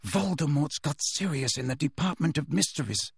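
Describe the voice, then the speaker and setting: A man's voice: on the high side, very animated, a little slow, creaky, darkish tone, slightly rough, dry recording, full character voice. Urgent snarly teen wizard kid, man reading him, dry sound. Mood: desperate. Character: desperate defiant teenage boy wizard.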